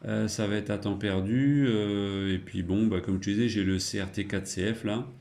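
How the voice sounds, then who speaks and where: Man, middle-aged, lowish mellow voice, colloquial radio chat, amateur operator. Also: fairly even intonation, normal speed. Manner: chuckling, colloquial, trailing tag words. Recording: roomy, echoing room sound.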